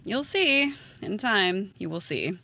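A woman's voice: mid-range voice, sing-song, slow pace, vocal fry, pushed and bright, dry recording, full character voice. A woman playing a jaded, blunt schoolteacher with a dry, sardonic manner, in a naturalistic audio drama. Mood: cynical. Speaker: actor in an audio play.

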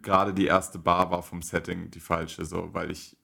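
Deep-voiced young man rambling casually. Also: little pitch movement, normal speed, vocal fry, pushed and bright. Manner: rambling, many filler words. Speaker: podcast co-host. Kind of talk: casual chat.

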